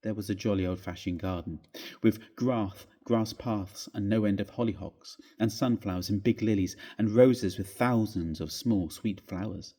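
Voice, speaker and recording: A man with a husky voice reading a story aloud in a measured, expressive, theatrical way. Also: lowish voice. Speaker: reader of a classic ghost story.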